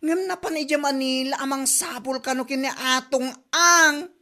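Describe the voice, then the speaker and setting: A woman's voice: high voice, a little slow, bright, forceful tone. A woman with an Ilocano accent playing a complaining neighbor in a comedy drama.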